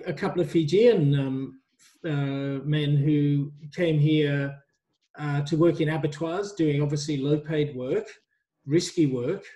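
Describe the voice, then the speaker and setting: A middle-aged man teaching a university workshop over a video call on a headset, relaxed and hesitant with many ums. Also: a little high. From migrant to Australia. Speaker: university tutor.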